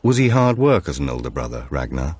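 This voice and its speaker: A man's voice: very deep voice, big pitch swings, a little fast, darkish tone, husky, no room sound, exaggerated voice. A man voicing a practical, questioning young woman in an audiobook thriller.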